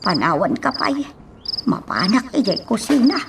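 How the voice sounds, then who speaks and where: An actress with an Ilocano accent playing an uneasy, secretive house servant in a radio drama, halting and evasive. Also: female voice, a little high, big pitch swings, creaky, distant mic, heavy background noise, full character voice. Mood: uneasy, guarded. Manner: hesitant, slow, halting.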